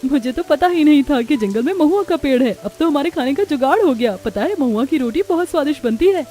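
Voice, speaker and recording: A woman's voice: high-pitched, lively intonation, fairly quick, warm tone, pitched above the performer's own voice. A woman voicing a resourceful, earnest young village daughter-in-law in a cartoon story. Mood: pleased. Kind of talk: acted dialogue in a Hindi cartoon story.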